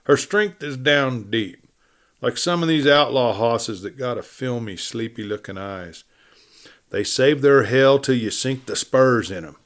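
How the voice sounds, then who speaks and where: A man's voice: mid-range voice, lively intonation, unhurried, natural voice. A man acting a folksy, preachy tough cowboy. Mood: insistent, emphatic. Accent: American Western. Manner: drawling, folksy lecturing.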